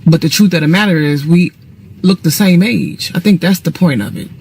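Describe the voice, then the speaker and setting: A woman's voice: on the low side, moderate pace, a little mellow, breathy edge. A woman in her late thirties giving a frank, matter-of-fact opinion in a casual video. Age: 30s. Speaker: commenter in a clip.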